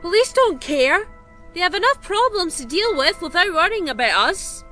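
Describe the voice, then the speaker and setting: Street-wise kid for audio drama, played by a woman: high pitch, very expressive swings, challenging and stubborn, faint music behind. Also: moderate pace, full character voice. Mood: defiant, accusing. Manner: blunt, challenging. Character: defiant, insistent street-wise young girl.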